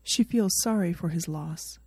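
A woman's voice: lowish voice, a little slow, a little mellow, slightly rough, dry recording. A woman reading a story aloud as scripted narration. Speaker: fan fiction reader.

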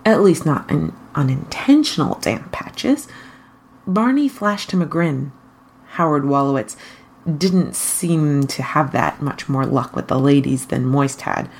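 A woman reading fan fiction aloud as an expressive scripted narration. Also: low voice, a little slow.

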